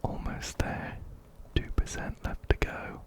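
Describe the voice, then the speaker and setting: A man whispering softly and breathily, close up to a binaural microphone, gently repeating phrases as he gives soothing ASMR game commentary. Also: slow pace. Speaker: ASMR host playing a video game.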